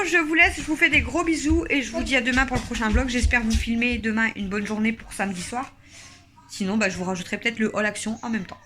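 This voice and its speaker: Chatty bright-voiced woman. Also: fairly high pitch, slight background noise. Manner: chatty, laughs often. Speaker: family vlogger, mother. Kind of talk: casual home vlog chat. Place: at home.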